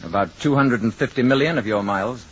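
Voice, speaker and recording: An actor playing a calm, superior alien visitor in an old radio drama, speaking in a measured, terse, stern way. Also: male voice, medium pitch, breathy edge, close to an everyday voice. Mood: calm, reserved. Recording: muffled, noisy old radio recording.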